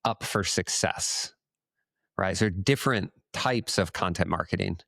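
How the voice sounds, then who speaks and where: A man co-hosting a casual business podcast, thinking aloud in a halting way as he frames questions. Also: low-pitched, gravelly, a bit bright, dry recording, studio quality. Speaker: podcast co-host asking questions.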